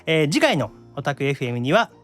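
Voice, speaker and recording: Animated, high-pitched Kansai man hosting. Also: a little fast, soft background music. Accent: Kansai Japanese. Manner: teasing, mock-scolding banter. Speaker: podcast host. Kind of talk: casual radio-show chat.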